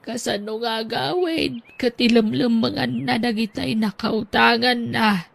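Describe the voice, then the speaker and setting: A woman's voice: on the high side, unhurried, vocal fry, heavily acted. A woman voicing a weary older mother in an audio drama, sighing as she talks.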